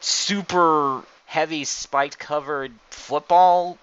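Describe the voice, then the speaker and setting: A man's voice: fairly high pitch, fairly even intonation, slow pace, a bit bright, clear, resonant tone. A man hosting a casual group chat, explaining his opinions in a rambling way. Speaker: podcast host. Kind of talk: casual group chat about anime and card games. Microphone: online group voice call. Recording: dull, lo-fi voice chat.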